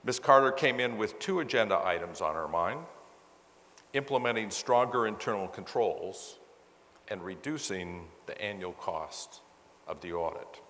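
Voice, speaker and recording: Male conference presenter, low voice, conversational and self-correcting, heard with long hall reverb. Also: expressive, measured pace, fairly bright tone. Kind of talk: explanatory presentation to an audience. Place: large meeting hall with sound system.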